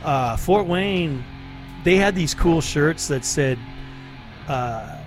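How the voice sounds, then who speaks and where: Laid-back male radio DJ, slightly high voice. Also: lively intonation, slow pace, faint music behind. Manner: laid-back, rambling with fillers and laughs. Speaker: radio DJ host. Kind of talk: casual radio banter.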